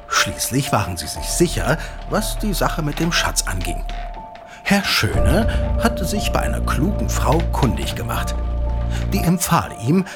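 An actor playing an eager father who recounts an old legend in an audio drama, animated, teasing and dramatic, like a keen storyteller. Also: fairly high pitch, heavily acted. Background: music.

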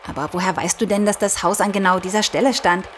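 A woman's voice: mid-range voice, quick pace, slight background noise, natural voice. An actress playing a sceptical young adult daughter humouring her father in an audio drama, in a wry, casual, mocking way. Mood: sceptical, curious. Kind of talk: German audio drama dialogue, colloquial.

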